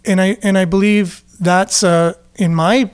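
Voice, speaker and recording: A man. He hosts a casual interview, feeling his way through sentences in a halting, rambling manner. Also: sits high, fairly even intonation, clean tone. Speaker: interview show host.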